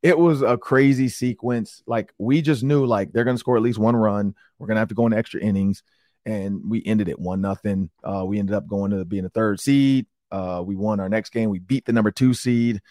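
A middle-aged man with an Upper Midwest American accent hosts a sports talk podcast, his voice hoarse and raspy from yelling, and he tells stories in a rambling, excitable way. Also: lowish voice, brisk, dry recording, studio quality. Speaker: sports talk show host. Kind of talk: casual podcast chat.

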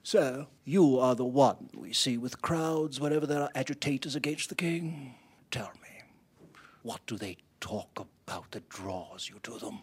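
A husky, menacing king's captain. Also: medium pitch, measured pace. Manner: clipped, imperious, threatening. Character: stern, menacing British naval captain serving the king.

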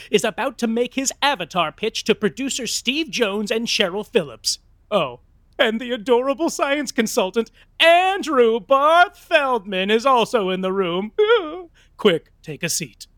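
An actor playing an arch, conspiratorial narrator who gossips through a scripted showbiz comedy podcast. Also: male voice, high-pitched, fairly bright tone, dry recording, clean studio sound. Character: gossipy, theatrical male narrator eavesdropping on showbiz drama.

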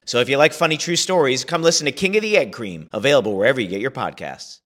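A man's voice: mid-range voice, expressive, quick pace, fairly bright tone. A man reading a podcast advertisement as a promo announcer. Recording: music bed under the voice.

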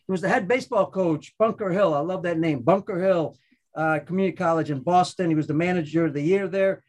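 A man hosts a podcast in a loose, upbeat way. He rambles and restarts his sentences. His voice sits high for a man. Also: quick pace, a little mellow. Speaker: podcast host. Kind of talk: casual chat.

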